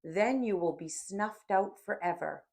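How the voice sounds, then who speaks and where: A woman reader puts on a scoffing, mocking male devil for a dramatic audiobook. The speech is slow and theatrical, savoring every taunt with grand menace. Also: medium pitch, vocal fry, darkish tone. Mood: gloating menace. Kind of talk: dramatic audiobook character reading.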